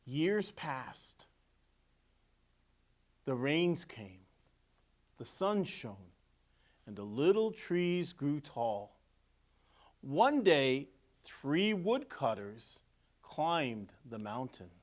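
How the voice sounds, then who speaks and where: Slow, animated man reading a storybook. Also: mid-range voice. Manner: deliberate, emphatic phrasing with pauses. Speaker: clergyman reading a children's story. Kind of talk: expressive storybook read-aloud with asides on pictures.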